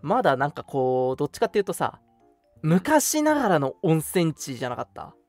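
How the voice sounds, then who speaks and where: A young man hosting a radio show, chatting casually and reminiscing in an animated storytelling way. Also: a little high, brisk, dry recording, soft background music. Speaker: radio talk show host.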